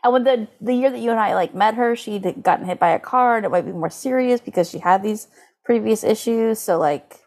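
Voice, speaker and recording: A woman with a mid-pitched voice chats as a podcast co-host. She talks informally and haltingly, restarting her phrases and leaning on "like" as she goes. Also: a little mellow. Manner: halting, filler-heavy, lots of "like". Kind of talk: casual chat, animated recap.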